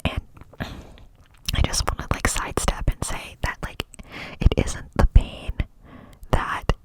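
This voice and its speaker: A woman's voice: slow, poor audio. A young woman telling a personal story in a chatty whisper, with many fillers. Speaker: vlogger.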